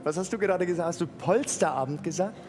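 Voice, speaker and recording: A man's voice: high voice, quick pace, noisy background. A man hosting a TV show, trading jovial scripted banter and laughing often. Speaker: TV show host. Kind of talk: scripted comedy banter on a TV stage. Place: large TV studio stage. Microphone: headset. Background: band music.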